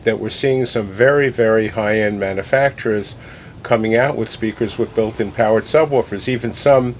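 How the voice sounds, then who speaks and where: A man answering interview questions conversationally, explaining his points and repeating phrases for emphasis. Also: moderate pace. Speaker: loudspeaker company president, interview guest. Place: listening room in a home. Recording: clear background noise with low rumble.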